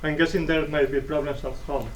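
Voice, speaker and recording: A self-assured, patronizing embassy bureaucrat played by a man talks formally in a stage reading. His voice is on the high side, picked up at a distance with faint noise. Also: level tone, fairly quick, close to an everyday voice. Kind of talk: dramatic reading of a stage play.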